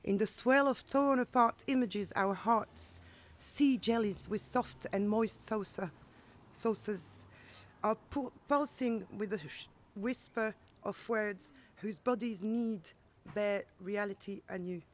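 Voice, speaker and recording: A woman recites poetry to a live gathering. Her pitch is middling for a woman. She reads line by line with measured care. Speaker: poetry reader and translator. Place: outdoors at a gallery event.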